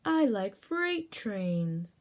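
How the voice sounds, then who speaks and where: Woman acting big storybook bird, enthusiastic, loud stretched-out words, swooping intonation. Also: medium pitch, very animated. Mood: emphatic, enthusiastic. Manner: emphatic, drawn-out words. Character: big bird who loves big things, enthusiastic.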